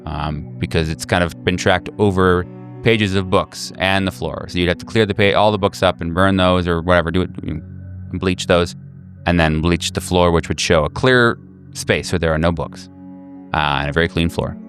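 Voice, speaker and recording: Low voiced guy game mastering a horror rpg, kinda halting, making it up as he goes, faint music behind. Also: a bit bright. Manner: halting, searching for words. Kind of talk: improvised narration of a tabletop horror game.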